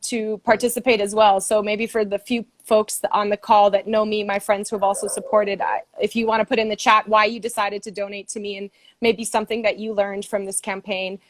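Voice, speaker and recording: A woman with an American accent, a Brazilian American guest speaking earnestly on a video call, often pausing with ums and soft laughs. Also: mid-range voice, flat delivery, no echo. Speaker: guest supporter. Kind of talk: casual video call conversation. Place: home, stayed in the US.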